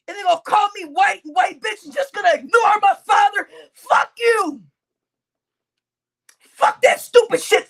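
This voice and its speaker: A woman livestreamer, crying as she rants at her chat, shouting with heavy swearing and repeating her outbursts. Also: extremely high pitch. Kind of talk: angry livestream rant to chat.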